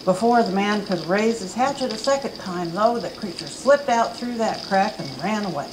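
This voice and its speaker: A woman reads a spooky folk tale aloud to a live audience, her voice building drama as the story goes on. She speaks at a middle pitch. A noisy hiss runs through the live recording. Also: animated, moderate pace. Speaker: reader at a library event. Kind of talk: reading a children's story aloud. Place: campus event room.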